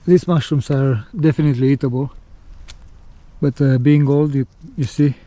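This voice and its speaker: Mellow-voiced man vlogging outdoors. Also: medium pitch, steady tone, moderate pace, no echo. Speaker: travel vlogger. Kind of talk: casual outdoor vlog talk to camera. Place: outdoors by a forest.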